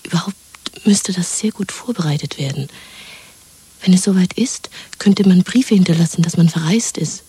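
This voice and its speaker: Dreamy young woman plotting escape with her lover: very breathy whisper, soft mellow tone, coaxing and intimate, radio play. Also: fairly low pitch, moderate pace. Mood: eager, dreaming. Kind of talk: 1970s German radio drama acting.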